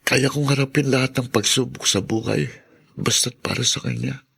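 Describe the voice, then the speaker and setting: An actor playing an ailing, devoted young grandson in a podcast drama, speaking with halting emotion. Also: male voice, medium pitch, steady tone, gravelly, fairly bright tone, slightly rough, heavily acted. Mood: resigned, anguished. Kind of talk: podcast radio drama acting.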